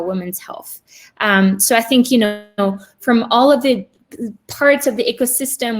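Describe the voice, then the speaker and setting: A woman presenting a market overview to a panel, hesitant, with many fillers and restarts. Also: mid-range voice.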